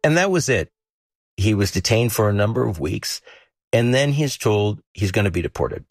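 A man reporting a story on a news podcast, explaining it in a measured, storytelling way. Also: lowish voice, big pitch swings, dry recording, studio quality.

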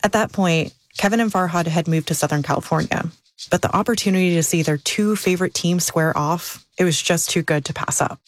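A woman reporter narrating a documentary news story in a measured, scripted way. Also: fairly low pitch, no room sound.

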